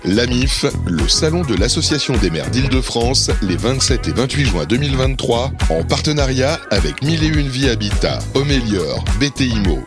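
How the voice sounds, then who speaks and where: A man's voice: mid-range voice, big pitch swings, moderate pace, few pauses. Male announcer over music. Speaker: station announcer. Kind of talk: scripted promo voice-over.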